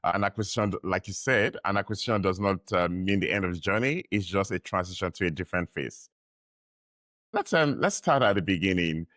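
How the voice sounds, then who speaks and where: A man hosting a podcast, asking measured questions with frequent fillers. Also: low-pitched, lively intonation, a bit bright, no echo, studio quality. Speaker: podcast host. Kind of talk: interview questions.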